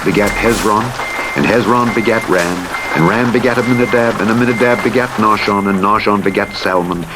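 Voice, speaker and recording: A man giving a solemn, measured and expressive scripted reading of the Bible, in the manner of an audiobook narrator. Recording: noisy, with vehicle and rain-like rumble. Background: truck simulator game driving noise.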